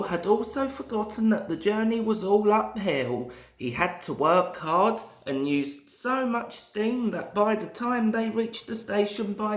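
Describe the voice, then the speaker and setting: A young man reads a children's story aloud. His delivery is slow and strongly varied in pitch, and the room around him is echoey. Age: young adult.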